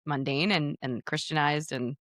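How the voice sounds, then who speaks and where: A woman's voice: low voice, steady tone, moderate pace, a bit bright, no room sound, studio quality. A woman in her thirties with a Pennsylvania American accent, talking casually as a guest author and podcaster, chatty and full of fillers. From United States, Pennsylvania. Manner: chatty and talkative, full of "like" and "you know". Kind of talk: casual interview chat.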